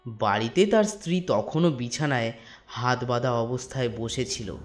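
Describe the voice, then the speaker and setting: A man narrating a scripted ghost story in a dramatic storytelling manner with drawn-out pauses. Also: mid-range voice. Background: soft instrumental music.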